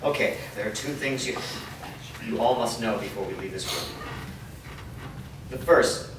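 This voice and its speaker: A man's voice: fairly low pitch, unhurried, natural voice. A man playing a grave, loyal and resolute companion in a staged reading. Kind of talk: staged reading of a drama script. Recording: noisy, very echoey hall from far off.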